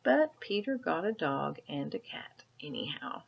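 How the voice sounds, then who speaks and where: A woman reading a children's story aloud like a teacher, adding casual comments and gentle questions for the listener. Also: low-pitched, very animated, a little slow, gravelly, slightly rough. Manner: asks gentle tag questions. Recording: dull, lo-fi sound.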